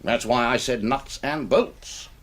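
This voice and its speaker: A man playing a jovial, eccentric upper-class English gentleman adventurer in a British radio drama, with a plummy, chuckling storyteller's manner. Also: medium pitch, slow pace. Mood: boastful, relish. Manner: plummy, chuckling, theatrical raconteur.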